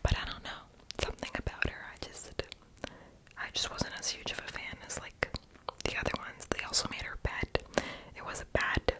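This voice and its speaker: A young adult whispers throughout in a breathy ASMR voiceover, with no voiced tone at all. The talk is casual and rambling, a little self-deprecating, close on the mic with lip smacks and mouth sounds. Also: normal speed, low-quality recording. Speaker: ASMR video creator.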